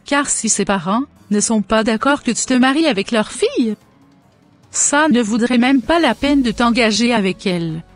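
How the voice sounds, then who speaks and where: A woman voicing a devout, cautious mother who advises her grown son deliberately in a scripted cartoon. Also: a little high, expressive, faint music behind, close to an everyday voice. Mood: devout, advising.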